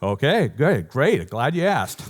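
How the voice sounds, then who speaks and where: A man's voice: medium pitch, unhurried. A man with a Boston accent giving a technical talk at a conference, joking with the audience and often correcting himself mid-sentence. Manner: lively, joking, rambling with self-corrections. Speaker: conference speaker. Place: conference hall before an audience.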